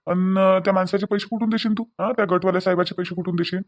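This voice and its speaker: Man acting a high-voiced, fast, scolding village husband. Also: fairly even intonation. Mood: angry scolding. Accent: rural Vidarbha Marathi. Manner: scolding, repetitive rhetorical questions. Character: hot-tempered, worried village husband short of money. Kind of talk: amateur Marathi village family drama.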